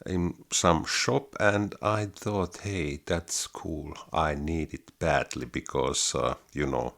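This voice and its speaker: A man in his fifties with a very low, soft-bass voice, talking casually and haltingly with many fillers from a small home studio, close to a studio mic. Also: creaky. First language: Finnish. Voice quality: very low baritone voice. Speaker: home-studio hobbyist, channel host. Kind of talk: casual gear demo vlog. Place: small home studio room under a sloped ceiling. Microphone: studio mic close up, headphones on.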